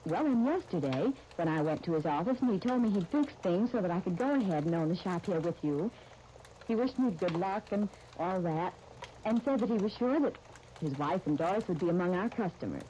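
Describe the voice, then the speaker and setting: An actress playing an earnest, tactful young dress designer in a 1930s radio soap opera, speaking in a polite, gently persuasive way. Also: female voice, lowish voice, expressive, brisk, natural voice. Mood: earnest, recounting. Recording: muffled, hissy 1939 radio broadcast recording.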